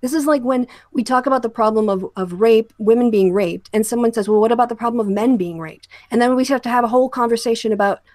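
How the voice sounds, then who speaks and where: A woman's voice: fairly high pitch, fast, few pauses, darkish tone, no room sound, clean studio sound. A woman hosting a discussion show, giving emphatic, critical commentary that sounds like an opinionated rant with direct address.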